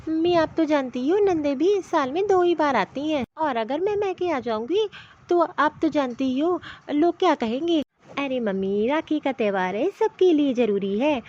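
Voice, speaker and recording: A woman plays a reassuring young married daughter in a comedy cartoon. The voice is very high with lively movement in pitch. The recording sounds a little dull. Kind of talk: Hindi comedy cartoon story dialogue.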